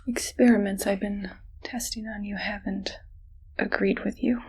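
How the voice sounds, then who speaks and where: A woman voicing a friendly but evil scientist in a whispered ASMR medical roleplay, breathy, coaxing and playful. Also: medium pitch, steady tone, a little hiss or hum, natural voice, poor audio. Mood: sweetly sinister. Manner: slow, soft, playful, coaxing.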